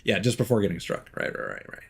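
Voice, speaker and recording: A man's voice: lowish voice, huge pitch swings, fast, vocal fry, slightly rough. A man streaming a video game and chatting casually as he plays, thinking aloud with fillers and small laughs. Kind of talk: casual live gameplay commentary.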